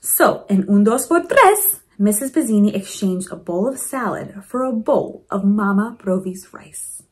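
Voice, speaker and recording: A woman reading a storybook aloud to children in an expressive way, with chatty asides to her young listeners. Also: medium pitch, a little slow. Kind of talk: animated children's read-aloud.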